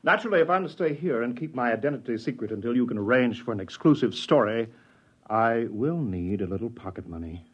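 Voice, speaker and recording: An actor playing a brazen, glib shapeshifting con artist in a 1950s radio drama. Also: male voice, medium pitch, expressive, a little fast, husky. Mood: glib, presumptuous. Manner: theatrical, glib, nonchalant. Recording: dull 1950s radio recording.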